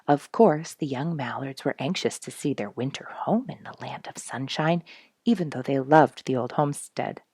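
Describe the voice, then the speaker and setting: Female storybook reader, low and husky, expressive delivery, dry recording. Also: normal speed, dry recording. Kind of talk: scripted children's story narration.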